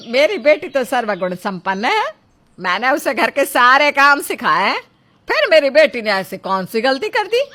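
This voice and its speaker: A woman playing a scolding, domineering middle-aged mother-in-law in a dramatized Hindi moral story, with sharp, accusing delivery. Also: fairly high pitch, background noise.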